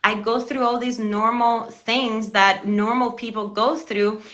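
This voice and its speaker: Cuban-born woman on her live stream, bright voice, a bit high, pep-talking her viewers. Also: fairly even intonation, unhurried, highs cut off. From Cuba. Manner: earnest, encouraging, addresses viewers directly. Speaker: live stream show host. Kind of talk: motivational live-stream talk.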